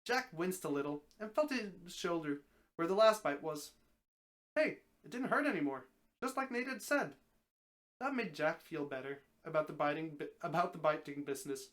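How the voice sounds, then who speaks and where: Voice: mid-range voice, expressive, normal speed, fairly bright tone. A streamer gives a live dramatic reading of a story in a middle-range voice. The narration now and then trips on a word, then goes back over the phrase. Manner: stumbles over words and rereads phrases.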